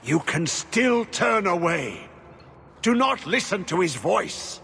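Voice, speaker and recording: Casting: weary old warden for fantasy game; urgent, cryptic, halting, slow, with swooping gravelly delivery. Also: male voice, high voice, sing-song, noisy background, exaggerated voice. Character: weary, haunted old former warden commander. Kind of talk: fantasy video game acting.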